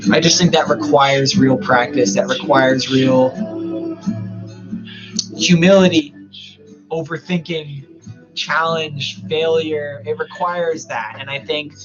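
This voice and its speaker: A man's voice: fairly high pitch, muffled recording. A young man from Canada musing aloud in a rambling, casual live-stream monologue, swearing freely and full of fillers.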